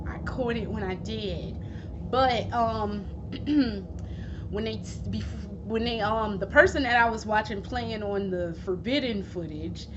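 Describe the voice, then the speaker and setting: A woman vlogging at home, hoarse from losing her voice, rambling casually with plenty of swearing and fillers. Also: on the high side. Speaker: gaming vlogger. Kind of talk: casual chat. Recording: dull lo-fi recording with steady background noise.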